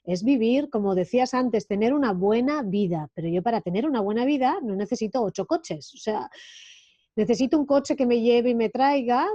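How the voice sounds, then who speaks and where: A woman hosts a conversational interview in a mellow, warm voice. She keeps it casual and agreeable, and her sound comes through slightly muffled and dry, like video-call audio. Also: a little high, animated, normal speed, no echo. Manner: casual, agreeable, uses filler tags. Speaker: host, interviewer.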